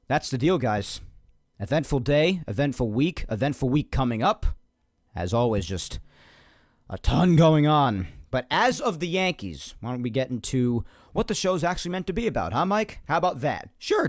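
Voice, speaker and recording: A young man hosting a solo sports podcast, energetic and rambling, his voice slightly hoarse. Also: medium pitch, normal speed, dry recording, studio quality. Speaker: podcast host.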